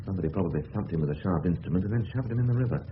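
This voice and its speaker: A man's voice: low voice, expressive, racing. An actor playing a hearty, affectionate middle-aged English father in a radio drama, bluff and chummy. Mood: concerned, bluff. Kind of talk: 1970s radio play acting. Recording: tinny, hissy old radio broadcast.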